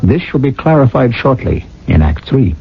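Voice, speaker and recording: A man hosting and narrating a radio mystery from a script, in a measured, grandly rhetorical manner. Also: medium pitch, very animated, gravelly. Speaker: host and narrator. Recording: dull vintage radio, loud music.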